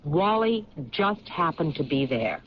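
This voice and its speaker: An actress playing a thoughtful, intuitive police lieutenant who questions in a measured way in a 1970s radio drama. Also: female voice, low voice, very animated. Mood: pondering. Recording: dull lo-fi radio broadcast with faint hiss.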